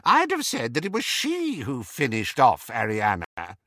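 A man voicing a spiteful, gossiping old witch with a shrill, cackling manner. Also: huge pitch swings, unhurried, a bit bright, dry recording, studio quality, full character voice. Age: older. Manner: cackling, needling, high-pitched.

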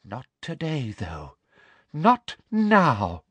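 A reader voicing a prissy, cruel middle-aged female teacher and ministry official in a breathy, sneering, over-deliberate way for a storybook. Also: mid-range voice, huge pitch swings, a bit bright, no echo, voice pushed up, heavily acted. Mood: gloating, menacing.

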